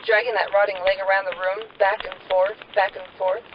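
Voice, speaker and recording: A woman's voice: medium pitch, flat delivery, normal speed, natural voice. A woman playing an exasperated, long-suffering young wife in an old-time radio melodrama. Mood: distraught. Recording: dull old-radio sound, clear background noise.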